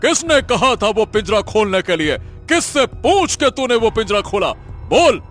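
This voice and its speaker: A man's voice: high voice, big pitch swings, unhurried, a bit bright, soft background music, exaggerated voice. A man playing an imperious, hot-tempered emperor in a cartoon story, with a stern commanding manner. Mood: furious.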